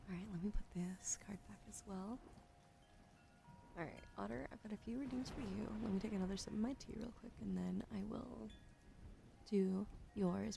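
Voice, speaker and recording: A woman livestreaming a soft-spoken ASMR card reading, often slipping into a whisper, chatty and affectionate with her viewers, close to a desk mic. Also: mid-range voice, creaky, noisy background. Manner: soft, gentle, partly whispered delivery. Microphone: close desk microphone.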